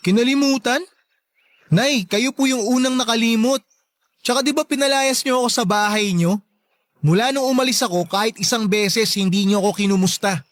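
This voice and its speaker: A man's voice: very high voice, moderate pace, dry recording. An actor playing a young man, a devoted partner and wounded son, in a Filipino radio drama. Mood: hurt, indignant.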